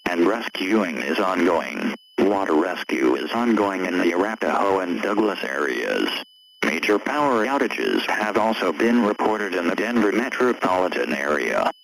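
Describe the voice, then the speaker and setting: An actor as a male emergency broadcast announcer with a very low, gravelly voice. He reads scripted official notices solemnly and formally. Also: low-quality recording.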